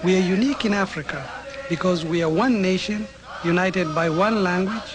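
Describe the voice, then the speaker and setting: High-voiced male documentary narrator. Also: moderate pace, noisy background.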